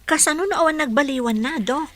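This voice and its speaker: A woman's voice: sits high, fast talker, lightly acted. A woman playing a polite, devoted young caregiver in a radio drama, deferential in manner.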